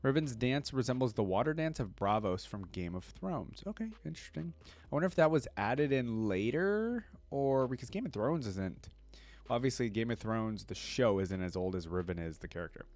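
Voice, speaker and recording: A man giving casual reaction commentary as an online creator, reading wiki text aloud and thinking out loud about it. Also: mid-range voice, expressive, moderate pace, dry recording. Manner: thinks aloud, interjecting between read passages.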